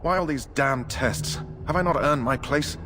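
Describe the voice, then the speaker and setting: An actor playing an uneasy, complaining knight with formal speech in a fantasy game. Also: male voice, fairly high pitch, moderate pace, noisy background, close to an everyday voice. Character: anxious, reluctant knight with a family.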